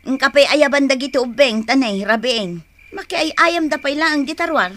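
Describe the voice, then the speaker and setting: A woman's voice: high voice, expressive, moderate pace, a bit bright. A woman acting in an Ilocano radio drama as a tired market vendor wife, sighing and complaining about her aches. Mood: weary, grumbling. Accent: Ilocano, northern Philippines. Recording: noisy radio drama recording.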